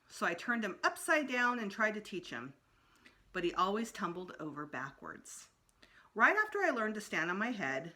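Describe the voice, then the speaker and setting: A woman reading a children's story aloud as storytime narrator, expressive, with emphatic pauses. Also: mid-range voice. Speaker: storytime reader.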